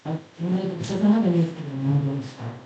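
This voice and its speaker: A woman with a Cuban Spanish accent telling relaxed anecdotes on a panel, in a large hall. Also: low voice, a little fast, faint music behind. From Cuba. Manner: relaxed, anecdotal, light laughter between points. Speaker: panelist artist. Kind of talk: conversational storytelling on a panel. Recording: echoey hall, voice from far off the mic, dull sound, faint noise.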